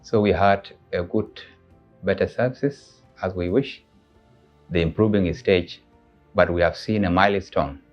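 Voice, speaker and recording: A man from South Sudan with a South Sudanese accent, giving a formal, halting testimonial about a relative's care. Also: sits low. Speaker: patient's husband giving a testimonial. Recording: dull audio under background music.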